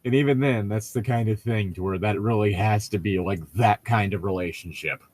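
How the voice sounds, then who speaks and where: A man's voice: lowish voice, fairly quick, a little mellow, no echo. A young man in casual, joking, profane chat as a co-host reading a book aloud with commentary. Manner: profane, bantering.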